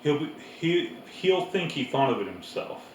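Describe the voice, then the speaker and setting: A man's voice: a little high, very animated, moderate pace, recorded from a distance, slight background noise, pitched above the performer's own voice. A self-assured farm girl from a storybook, read by a man. She speaks confidently and matter-of-factly, as one who knows. The room echoes and the mic is some way off.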